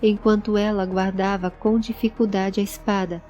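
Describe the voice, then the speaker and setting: A woman's voice: mid-range voice, level tone, normal speed, a little mellow, highs cut off. A woman narrating a scripted fantasy audio story. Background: background music.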